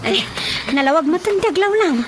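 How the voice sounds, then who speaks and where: A chatty daughter with a very high voice and an Ilocano accent, lively in radio drama comedy style. There is faint noise behind the voice. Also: female voice, moderate pace.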